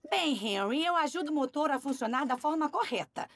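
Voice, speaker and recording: A woman's voice: sits high, animated, a little fast, a bit bright, no echo, clean studio sound. A woman with a Brazilian accent voicing a helpful, knowing talking oil can that explains things in a children's cartoon dub. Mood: eager to explain.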